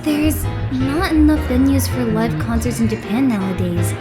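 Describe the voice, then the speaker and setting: A woman voicing an excitable young male idol fanboy in an anime game fan dub, gushing and dramatic. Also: a little high, normal speed, over music, natural voice. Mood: chatty, informative.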